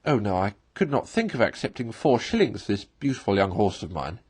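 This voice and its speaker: A man voicing a conceited, excitable toad from a storybook in a pompous, theatrical, emphatic manner. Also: on the low side, fairly quick, slightly rough. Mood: haggling, affected.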